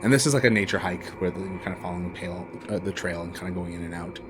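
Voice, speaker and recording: Deep-voiced man narrating a horror game. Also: noisy background. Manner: halting, casual phrasing with many filler words. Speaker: game master. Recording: music behind the voice. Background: background music.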